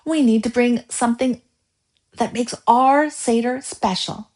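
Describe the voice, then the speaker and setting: An eager young storybook boy, voiced by a woman, excited and urgent. The pitch is lifted high and the delivery is pushed along with keen energy. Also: measured pace, natural voice.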